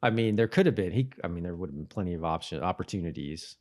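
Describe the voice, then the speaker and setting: A man co-hosting a podcast, chatting casually about a TV show in a halting, hedging way. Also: low-pitched, expressive. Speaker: podcast co-host.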